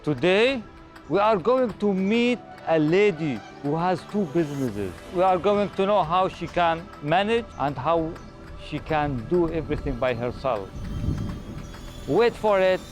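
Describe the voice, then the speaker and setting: A woman's voice: fairly low pitch, lively intonation, a little slow, faint music behind. A woman hosting a casual interview, inquisitive, asking short questions and echoing answers. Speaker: host, interviewer.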